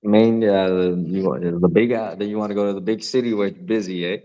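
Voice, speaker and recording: Deep-voiced laid-back man chatting. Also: steady tone, brisk, warm tone, lo-fi sound. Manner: laid-back, rambling, swears casually, laughs often. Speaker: podcast co-host. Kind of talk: casual chat.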